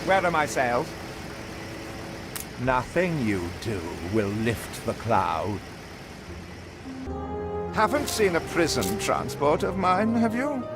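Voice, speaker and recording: An actor playing a cruel, greedy uncle in a video game, with a haughty, drawling delivery. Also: male voice, on the high side, sing-song, slow pace, long pauses, over music, full character voice. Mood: contemptuous, impatient. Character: cruel, greedy, sneering uncle, a wealthy villain.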